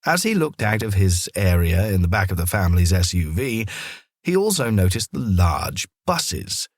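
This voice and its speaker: A man narrating a children's story in an expressive, scripted storytelling style. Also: low voice, normal speed, dry recording, clean studio sound.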